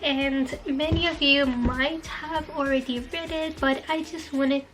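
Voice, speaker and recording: A young woman vlogging to camera with a chatty, informal manner, her voice a little hoarse from a cold. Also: high-pitched, fairly even intonation, a little slow, background noise. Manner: chatty, apologetic, uses lots of fillers like basically. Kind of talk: casual talk to camera.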